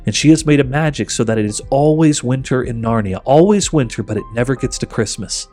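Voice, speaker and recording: A man voicing a happy, excited little girl in a storybook, eager and earnest in manner. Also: mid-range voice, a little fast, soft background music, lightly acted. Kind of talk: audiobook character voice.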